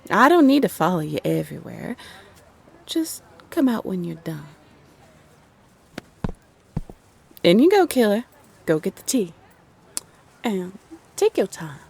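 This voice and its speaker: A woman playing a playfully affectionate, teasing love spirit who guides unseen, with a purring, drawn-out delivery in a roleplay audio drama. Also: medium pitch, very animated, gravelly, slight background noise. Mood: playful, coaxing.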